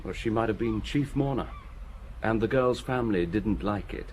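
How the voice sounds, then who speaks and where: An actor playing a diligent local police inspector who reports his findings in a brisk, matter-of-fact way in a radio drama. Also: on the low side, a little mellow, a little hiss or hum, lightly acted.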